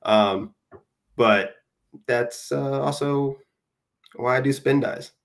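A man's voice: medium pitch, slow pace, vocal fry. A man in his thirties, a guest on a casual video call, talking in a rambling, self-deprecating way with lots of hesitant fillers. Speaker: guest dyer. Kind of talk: casual chat. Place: home room. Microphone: webcam on a video call.

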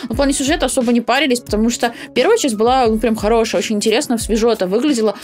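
A woman's voice: a little high, racing, darkish tone. A young woman chatting casually as she co-hosts a reaction video, recorded on a desk microphone in a home streaming room. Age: young adult. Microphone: studio mic on desk arm.

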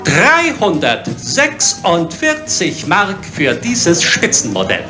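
An actor playing a middle-aged fashion show presenter, giving smooth, boastful, emphatic sales patter into a handheld microphone. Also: male voice, a little high, huge pitch swings, slow, full character voice. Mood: smooth selling. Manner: showy, emphatic sales delivery. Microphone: handheld microphone on stage. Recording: music under the voice.

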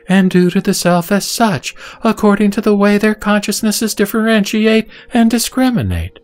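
A man reading a Buddhist scripture as formal, deliberate, solemn narration. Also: medium pitch, darkish tone.

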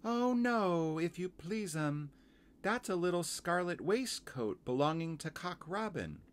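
A man's voice: high-pitched, animated, unhurried, pitched above the performer's own voice. A man voicing a small, stout female hedgehog washerwoman in a children's storybook, fussy, chatty and eager to please. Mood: helpful, chatty. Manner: deferential, rustic servant phrasing, chatty.

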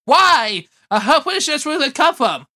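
A man voicing an excitable, argumentative hairy monster with fangs in an improvised comedic fan dub. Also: extremely high pitch, measured pace, exaggerated voice. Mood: indignant.

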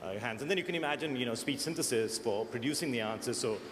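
A man's voice: medium pitch, quick pace, a bit bright. A man with an accent that is part American and part Indian, speaking thoughtfully on a conference panel and restarting his phrases. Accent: mixed American and Indian. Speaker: search engineer panelist. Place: large conference hall. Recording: echoey conference hall, faint noise.

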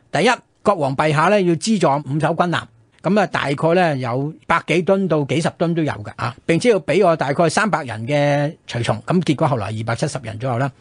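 A man's voice: on the high side, breathy edge, no room sound. A man with a Hong Kong Cantonese accent hosting a radio history talk in a conversational, explanatory way, often checking in with rhetorical questions. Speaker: radio programme host. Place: radio studio.

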